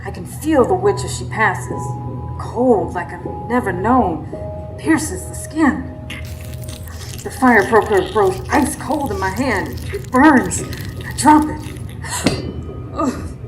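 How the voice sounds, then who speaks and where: An actress playing a feisty, fearless wife in a live radio horror drama, speaking with sassy, dramatic defiance. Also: female voice, mid-range voice, expressive, takes it slowly, long pauses, music in the background, low-quality recording. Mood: tense. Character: feisty, jealous, fearless Southern wife fighting a witch. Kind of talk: live radio drama acting and first-person narration.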